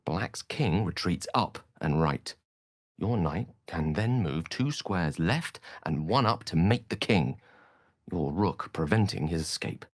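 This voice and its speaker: A man's voice: low-pitched, animated, slow, no echo, studio quality, full character voice. A man voicing an eloquent, bookish wizard in a video game, articulate and theatrical, with ornate scholarly phrasing and a wry streak. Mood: musing, observant. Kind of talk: scripted video game character dialogue.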